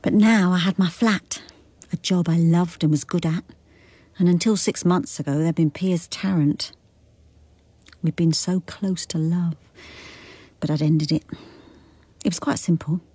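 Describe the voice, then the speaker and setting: An actress playing a frank, resolute detective inspector in a radio drama, reflective and plain-spoken, with an English accent. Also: female voice, fairly low pitch, fairly even intonation, a little slow, pauses often, dark tone, breathy edge, no echo. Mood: reflective, firm. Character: frank, resolute working-class-raised female detective inspector. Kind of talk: radio drama, intimate first-person monologue and dialogue.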